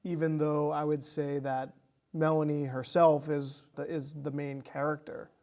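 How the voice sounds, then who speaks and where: A man with a somewhat high-pitched voice co-hosts a casual conversation about film. He speaks hesitantly, working out his thoughts aloud and often repeating the start of a phrase. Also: little pitch movement, measured pace, a little mellow. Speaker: podcast co-host.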